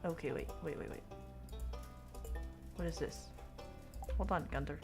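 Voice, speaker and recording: A woman's voice: deep voice, measured pace, vocal fry, over music. A woman from Oklahoma with a light Oklahoman accent, chatting casually with her chat while gaming, rambling and giggling at herself. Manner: rambling, self-mocking, giggly. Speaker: streamer.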